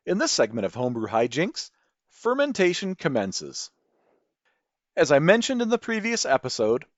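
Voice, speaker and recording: Animated Midwestern man hosting a podcast. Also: medium pitch, no echo, highs cut off. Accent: Midwestern American. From American Midwest. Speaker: podcast host. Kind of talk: scripted narration.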